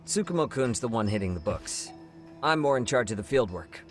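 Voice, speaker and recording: An actor playing a friendly young rookie detective in a video game. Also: male voice, mid-range voice, expressive, brisk, soft background music, lightly acted. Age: young adult. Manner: friendly, breezy planner.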